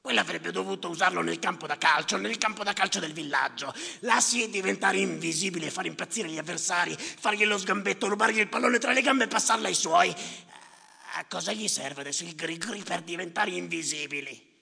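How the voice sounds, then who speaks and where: An actor playing a bitter, sardonic storyteller of sea tragedies in a theatrical monologue, declamatory and repetitive, with a gravelly voice. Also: male voice, high-pitched, fairly quick, heavily acted. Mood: haunted, sardonic. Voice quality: gravelly, creaky. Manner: declamatory, repetitive, swinging from muttering to shouting. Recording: reverberant theatre with live music behind. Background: live music.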